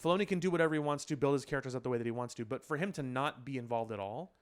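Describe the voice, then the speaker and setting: Guy podcast host talking casually, mid pitched voice, lays out his points with emphasis. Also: racing. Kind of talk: casual chat about pop culture.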